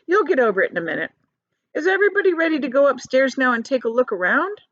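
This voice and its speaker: Woman playing cheery old grasshopper, lively and lifted. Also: high voice, fast talker, no room sound, highs cut off, pitched above the performer's own voice. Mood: cheerful, reassuring. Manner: determinedly cheerful, encouraging. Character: cheerful, hopeful old green male grasshopper.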